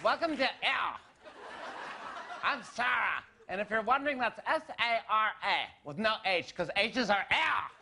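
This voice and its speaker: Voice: medium pitch, sing-song, unhurried, vocal fry, a crowd in the background. A performer voicing a giggly, airheaded young woman in a noisy, clicky clip.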